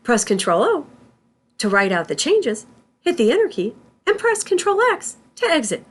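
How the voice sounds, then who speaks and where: A woman instructor reading scripted tutorial narration in a deliberate, step-by-step manner. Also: on the high side, big pitch swings, husky. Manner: deliberate, spells out terms symbol by symbol.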